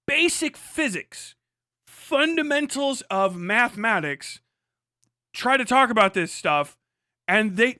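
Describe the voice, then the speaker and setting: A man's voice: high voice, sharp tone, dry recording, studio quality. A man on a casual, emphatic livestream rant, speaking off the cuff, repeating himself for emphasis and swearing freely. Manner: emphatic, repetitive, profane.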